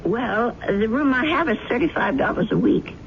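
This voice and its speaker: A woman's voice: fairly high pitch, lively intonation, moderate pace, gravelly. An actress playing an elderly widowed landlady, troubled, hesitant and kindly, in an old radio drama. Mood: polite, hesitant. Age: older. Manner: hesitant, earnest. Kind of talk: 1970s radio drama acting. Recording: noisy, muffled old radio broadcast recording.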